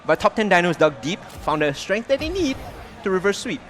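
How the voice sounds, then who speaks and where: High-voiced excitable male esports caster. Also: fairly quick. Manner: excitable, builds to loud calls. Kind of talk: play-by-play commentary.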